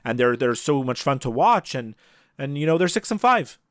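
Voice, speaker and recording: Male sports podcast co-host, average pitch, casual conversational delivery, dry close-mic sound. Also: very animated, moderate pace, fairly bright tone, dry recording.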